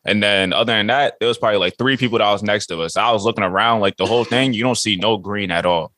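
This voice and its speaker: A man hosting a podcast in casual sports chat, slangy and profane, with a voice hoarse from shouting. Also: on the low side, quick pace, no room sound. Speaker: podcast host.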